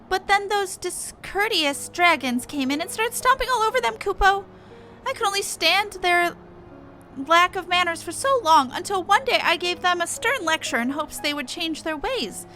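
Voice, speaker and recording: A woman's voice: extremely high pitch, pushed and bright, pitched above the performer's own voice, heavily acted. A woman voicing a fretful, fussy little moogle gardener from a video game, plaintive and aggrieved. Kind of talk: reading game dialogue in character.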